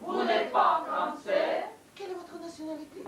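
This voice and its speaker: A woman teaching a language class, saying phrases slowly and clearly for the group to repeat. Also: very high-pitched, steady tone, far from the mic, a loud crowd. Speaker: French teacher. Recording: echoey room recorded from afar, group noise.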